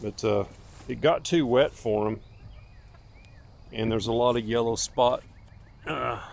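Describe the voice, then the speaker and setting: A man's voice: medium pitch, measured pace, creaky, darkish tone. A man giving a casual, unscripted garden tour outdoors as a homestead vlogger, in a folksy, plainspoken way. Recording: outdoor recording with faint ambient noise.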